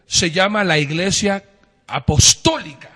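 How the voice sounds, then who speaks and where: A man's voice: high-pitched, measured pace, a bit bright, slightly rough. A man hosting a casual discussion, emphatic and posing pointed questions. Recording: long reverb.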